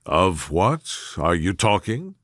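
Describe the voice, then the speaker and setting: A calm, sardonic older gentleman father from a storybook, played by a male narrator. He speaks in a measured deadpan, with a bright edge and a dry, close sound. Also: deep voice, dry recording, pitched below the performer's own voice, exaggerated voice. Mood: calm unconcern. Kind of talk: storybook character voice in classic novel reading.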